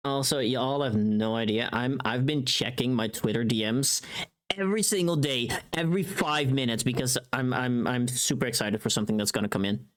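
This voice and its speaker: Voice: medium pitch, normal speed. A streamer chatting casually on a livestream in a playful, teasing, sweary way.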